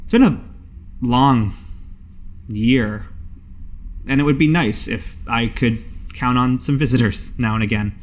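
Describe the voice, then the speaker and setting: A man acting as a shy young siren wizard in a tabletop game. He sounds like a casual, sleepy recluse, hedging as he talks in a middle-pitched male voice. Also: measured pace, pauses often, natural voice. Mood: shy, candid.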